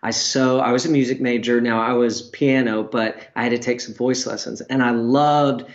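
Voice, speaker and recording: A man's voice: medium pitch, fairly even intonation, normal speed, highs cut off. A man co-hosting a casual live stream, chatty and giggly, who likes to tell stories. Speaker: co-host.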